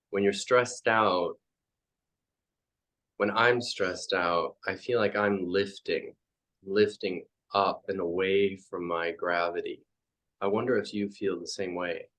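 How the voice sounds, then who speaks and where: A man leading a guided meditation as a teacher, speaking in halting, reflective phrases with frequent pauses. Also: low voice, a bit bright.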